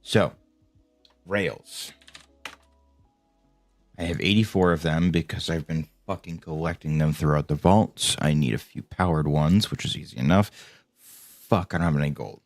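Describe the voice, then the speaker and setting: A man casually talking to himself while playing a game, low-key and mumbling, with a voice he says people call soothing. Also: a little slow, long pauses, no echo, soft background music. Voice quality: voice called soothing. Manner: low-key, mumbling, swears when frustrated. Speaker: streamer. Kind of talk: casual gameplay commentary.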